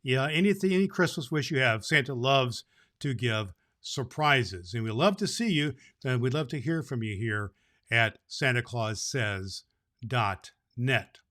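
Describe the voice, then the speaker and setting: A man playing Santa Claus, a jolly old man who reads storybook tales to children and hosts the show in an animated manner. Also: measured pace. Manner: jolly, animated, booming ho-ho laughs. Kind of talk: storybook reading and address to children.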